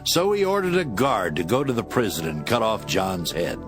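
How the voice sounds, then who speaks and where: A man narrating scripture as a scripted, dramatic story in a measured storytelling manner. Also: fairly low pitch, very animated. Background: instrumental music.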